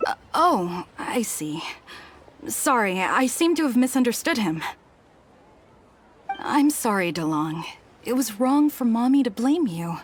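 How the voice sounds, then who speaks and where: A strict worried game mom, high voice goes up n down a lot, noisy bg. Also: female voice, big pitch swings, measured pace, long pauses. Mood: apologetic. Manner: reproachful, exacting. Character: stern, anxious mother, scolding but caring. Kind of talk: video game character dialogue.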